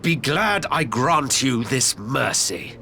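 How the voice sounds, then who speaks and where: An actor playing a fierce, indignant rebel fighter in a video game, declaiming with vengeful righteousness. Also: male voice, medium pitch, big pitch swings, slow, creaky, sharp tone, slight background noise, heavily acted. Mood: righteous fury. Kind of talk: video game cutscene acting.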